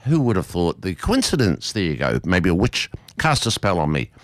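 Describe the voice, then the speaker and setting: A man's voice: sits low, huge pitch swings, normal speed, no room sound. An Australian man with a big, strong voice presenting local talk radio in a chatty, playfully theatrical way.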